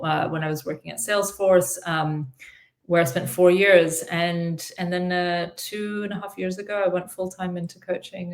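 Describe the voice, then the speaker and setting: A woman in her forties from Singapore, with an American-sounding accent, answering as a career coach in a chatty way full of "um" and restarts. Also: lowish voice. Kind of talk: conversational interview answers.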